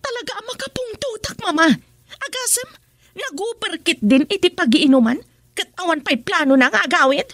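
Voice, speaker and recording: An Ilocano actress playing a hot-tempered, nagging young wife in a radio drama. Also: female voice, very high-pitched, expressive, normal speed, fairly bright tone, full character voice. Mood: exasperated, indignant. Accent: Filipino, Ilocano.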